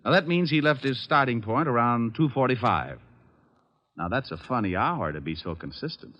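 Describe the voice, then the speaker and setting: An actor playing a wry, unflappable private detective who also narrates, in the style of an old-time radio drama. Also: male voice, medium pitch, expressive, dull audio, lightly acted. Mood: wry, reasoning. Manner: wry, glib, quick with dry wisecracks. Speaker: lead actor and first-person narrator. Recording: vintage radio recording with music.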